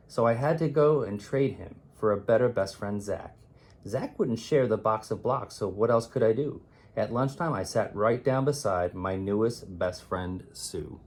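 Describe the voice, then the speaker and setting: A man reading children's rhymes aloud as a teacher would, in an expressive, theatrical way with drawn-out, emphatic words. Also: mid-range voice, breathy edge. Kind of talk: expressive children's read-aloud of rhyming poems.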